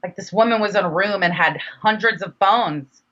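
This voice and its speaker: A woman's voice: mid-range voice, moderate pace, bright, forceful tone, highs cut off. A woman chatting casually as an interview guest, with an informal, slangy, enthusiastic manner. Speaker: interview guest, independent rapper.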